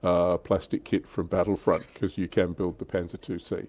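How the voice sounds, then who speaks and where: A man's voice: low voice, level tone, moderate pace, darkish tone. A man hosting a casual hobby panel chat, talking informally. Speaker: host and reviewer on a tabletop wargaming livestream.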